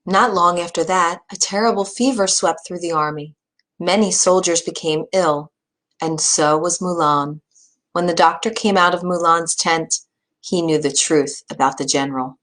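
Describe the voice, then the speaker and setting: A woman's voice: lowish voice, moderate pace, a bit bright. Woman narrating a children's story.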